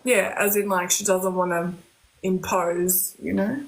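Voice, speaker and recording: A woman with an English accent chatting casually on a live stream, her voice hoarse and croaky, often saying "like" and "you know". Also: fairly low pitch, fairly even intonation, measured pace, rough recording. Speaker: medium.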